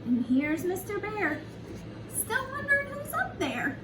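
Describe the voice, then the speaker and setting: A woman reading a picture book to young children like a preschool teacher, in an animated, sing-song, encouraging way with simple questions to her listeners. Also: extremely high pitch, measured pace. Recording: echoey room, distant mic, noisy background.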